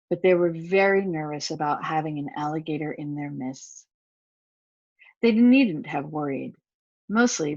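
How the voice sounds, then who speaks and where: A woman's voice: lowish voice, darkish tone, no echo, muffled recording. A woman reading a children's picture book aloud, with asides to the young listeners. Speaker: volunteer story reader.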